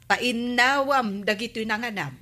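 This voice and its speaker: A woman playing a sharp-tongued, scolding village woman in an Ilocano comedy radio drama, argumentative and exasperated, with an Ilocano accent. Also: fairly high pitch, measured pace. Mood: exasperated, haggling. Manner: nagging, argumentative.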